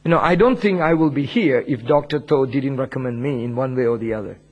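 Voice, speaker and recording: Husky preacher with an Indian accent. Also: male voice, mid-range voice, brisk, muffled recording. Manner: humble, earnest, chuckles often. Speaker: pastor. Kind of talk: sermon, personal testimony.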